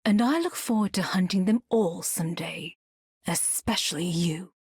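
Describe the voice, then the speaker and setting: Husky, composed huntress. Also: female voice, fairly low pitch, normal speed, a little mellow, no room sound, studio quality. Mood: composed, resolute. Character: composed, formal female demon hunter. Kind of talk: video game character voice lines.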